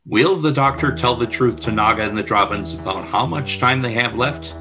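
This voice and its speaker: A man's voice: medium pitch, moderate pace, music in the background. A man narrating a radio play from a script, describing the action.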